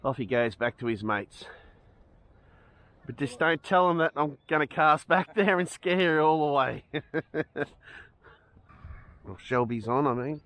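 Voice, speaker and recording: A man's voice: fairly high pitch, animated, measured pace, pauses often, fairly bright tone. A middle-aged Australian man giving casual commentary as he fishes from a beach, cheerful and chuckling often, recorded outdoors on a head-mounted action camera. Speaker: fishing vlogger. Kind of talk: casual running commentary while fishing. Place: outdoors on a sandy beach by the water.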